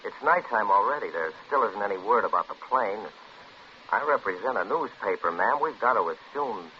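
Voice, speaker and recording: Actor as low-voiced pushy American newspaper reporter. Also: male voice, expressive, moderate pace, lightly acted. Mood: pleading insistence. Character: pushy male newspaper reporter, insistent, slightly apologetic. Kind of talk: 1950s American radio drama acting. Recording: muffled old radio broadcast, faint hiss.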